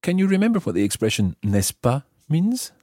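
A man hosting a language lesson, explaining example phrases piece by piece with careful, measured articulation. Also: on the high side, very animated, mellow, dry recording, studio quality. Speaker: language teacher and host.

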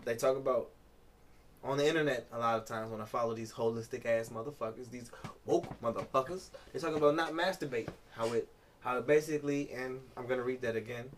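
A man's voice: medium pitch, a little fast, slight background noise. A man hosting a casual podcast, reading aloud and riffing in an informal, profane, joking way. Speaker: podcast host. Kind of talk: casual chat with read-aloud article commentary.

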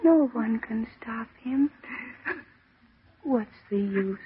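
A young woman trapped in the dark, frightened and slipping into delirium. Her voice is high and her delivery very slow and halting, in 1940s radio drama manner. The recording is thin and narrow like an old broadcast. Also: slight background noise. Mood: dreamy, resigned. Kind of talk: 1940s radio horror acting.

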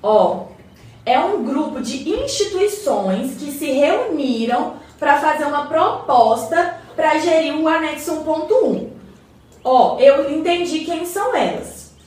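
A woman's voice: high-pitched, lively intonation, slow, a little mellow. A woman with a Brazilian accent acting a patient, knowledgeable community member in an educational radio play, explaining in a colloquial way. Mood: informative. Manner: didactic, colloquial. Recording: roomy echo, distant mic, faint noise.

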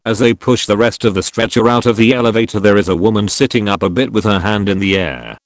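A man narrating posts in an even, steady storytelling manner. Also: on the low side, fast, dry recording. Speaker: narrator reading online posts. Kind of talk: scripted narration.

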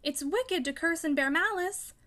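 A woman's voice: very high voice, normal speed, a bit bright, pitched above the performer's own voice. A woman voicing an earnest, frightened young daughter in a storybook reading, pleading with emotion. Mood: trembling, shocked. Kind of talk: audiobook character dialogue.

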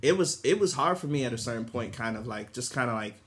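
A man in his twenties sharing personal experience in a casual, halting, slangy conversational way. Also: mid-range voice, animated, fairly bright tone. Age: 20s. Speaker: podcast panelist. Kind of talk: casual chat, personal anecdote.

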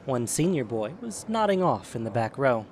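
A man's voice: medium pitch, sing-song, moderate pace, darkish tone, a little hiss or hum. A man narrating a scripted audio drama as a storyteller.

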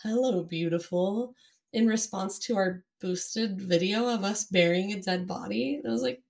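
A woman's voice: medium pitch, moderate pace, vocal fry, darkish tone. A young American woman co-hosting a podcast in casual chat, her voice worn by a cold. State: recovering from a cold and lost voice. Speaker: podcast co-host.

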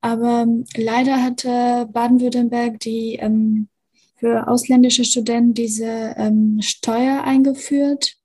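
A woman's voice: on the high side, little pitch movement, slow pace, mellow. A young woman from Albania in her twenties, answering interview questions casually with many fillers and restarts. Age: 20s. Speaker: guest, computer science student.

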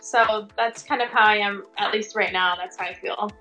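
A woman's voice: mid-range voice, fairly even intonation, moderate pace, sharp tone, soft background music, poor audio. A woman chatting casually as an interview guest, informal and sprinkled with "like" and "you know". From Tongan American. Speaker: interview guest, working fashion and commercial model.

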